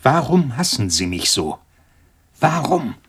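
An actor in a radio drama playing a cornered male scientist, agitated and halting, repeating himself as he panics and pleads. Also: medium pitch, very animated, slight background noise. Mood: desperate. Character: cornered, panicking male molecular biologist pleading with his tormentor.

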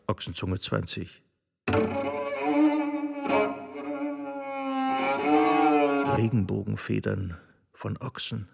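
An actor playing a wry, musing artist in a radio play, speaking deliberately and savoring the pauses with a gravelly voice. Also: male voice, on the low side, steady tone, faint music behind. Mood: incantatory. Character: wry musing male artist reciting his thoughts. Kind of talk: literary radio play monologue and poetry recitation.

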